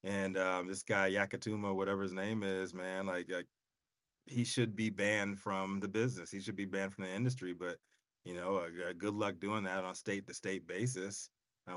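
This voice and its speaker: A man's voice: deep voice, fairly bright tone, dry recording. A man co-hosting a talk show over a remote call, rambling with many fillers and repeated words. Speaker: co-host. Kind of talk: casual chat.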